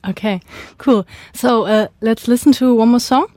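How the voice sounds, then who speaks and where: A woman's voice: on the high side, moderate pace, darkish tone, no room sound. A woman with a German accent hosting a casual radio interview.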